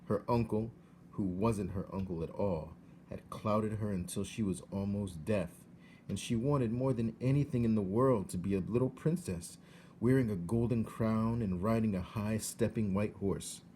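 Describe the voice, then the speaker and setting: Low-voiced man reading a storybook aloud. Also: normal speed, slight background noise. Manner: steady, expressive reading. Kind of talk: read-aloud storybook narration.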